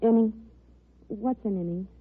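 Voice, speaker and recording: A woman's voice: medium pitch, a little slow, husky. An actress playing a detective's coy, affectionate, teasing girlfriend in a 1940s radio drama. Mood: puzzled, coy. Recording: narrow, muffled old-time radio recording.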